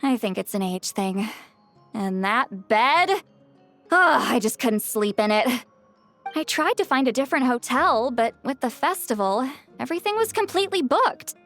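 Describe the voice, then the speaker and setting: A proud, guarded ex-commander speaks in a high, lively voice. She is wry and easily flustered, and faint game music plays under her lines. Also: moderate pace. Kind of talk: video game voice acting.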